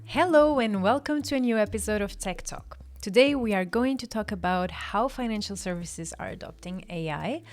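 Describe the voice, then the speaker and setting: A woman's voice: medium pitch, animated, normal speed, hardly any pauses. A woman with a Portuguese accent co-hosting a business podcast, speaking in a friendly way with some fillers. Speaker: podcast co-host. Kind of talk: casual hosting.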